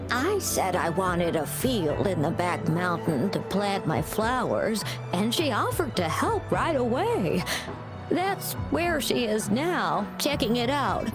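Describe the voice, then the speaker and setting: A woman's voice: mid-range voice, low-quality recording. A woman playing a kindly, modest old grandmother florist in a game, speaking fondly and without hurry. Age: older. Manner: affectionate, unhurried storytelling. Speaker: flower shop keeper. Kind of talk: scripted game dialogue. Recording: music behind the voice.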